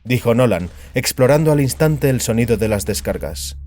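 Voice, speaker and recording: Un hombre que narra un relato dramático con guion, como narrador de audioficción. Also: male voice, mid-range voice, lively intonation, moderate pace, a little mellow, soft background music. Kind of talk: scripted dramatic story narration.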